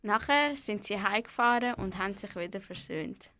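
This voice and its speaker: Bright-voiced female narrator. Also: medium pitch, lively intonation, moderate pace. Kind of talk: scripted amateur radio play narration.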